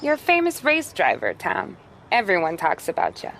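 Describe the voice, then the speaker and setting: A woman's voice: on the low side, huge pitch swings, moderate pace, gravelly, fairly bright tone, a little hiss or hum, exaggerated voice. An actress playing a tough, caring young American woman of the 1930s in a game cutscene, blunt and wry. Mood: warm, casual. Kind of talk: naturalistic video game cutscene acting. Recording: music over the dialogue. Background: music.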